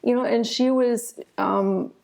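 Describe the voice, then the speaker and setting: A woman's voice: a little high, fairly even intonation, takes it slowly, creaky, a little mellow. A woman in her forties with an American accent, telling her own story informally and candidly as an interview guest. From southern Ohio, United States. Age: 40s. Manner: informal, candid, often adds "you know". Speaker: interview guest, retired physician turned author. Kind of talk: conversational personal storytelling.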